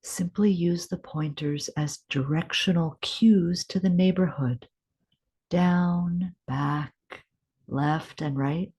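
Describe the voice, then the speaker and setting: Slow, low-voiced woman guiding meditation. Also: husky. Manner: gentle, unhurried, deliberate pauses. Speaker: meditation guide. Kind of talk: guided meditation, scripted instruction.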